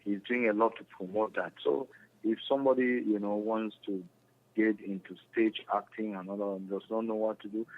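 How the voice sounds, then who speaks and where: A man with a Cameroonian accent answering interview questions in a halting, repetitive, earnest explaining way. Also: lowish voice, steady tone. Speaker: interview guest, stage actor. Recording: muffled lo-fi radio phone call.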